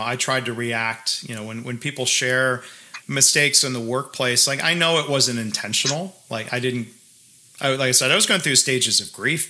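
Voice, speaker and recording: Bright-voiced man chatting on a podcast. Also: mid-range voice. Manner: halting, many restarts and fillers. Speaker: podcast co-host. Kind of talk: casual conversation.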